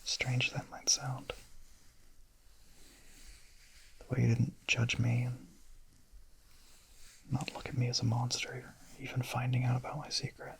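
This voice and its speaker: A man playing a shy, gentle young vampire in a whispered ASMR roleplay, murmuring softly, hesitantly and intimately in a breathy voice. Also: medium pitch, monotone, moderate pace, natural voice, rough recording. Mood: tender, hesitant. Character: shy, gentle young male vampire, smitten misfit confessing.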